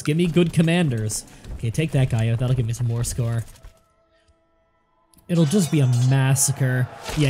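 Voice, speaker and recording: A man's voice: mid-range voice, darkish tone. A man with a nasal voice, stuffed up a little more than usual, giving casual commentary over a strategy game and chatting to its characters. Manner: chatty, thinks aloud, talks to game characters. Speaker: let's play commentator.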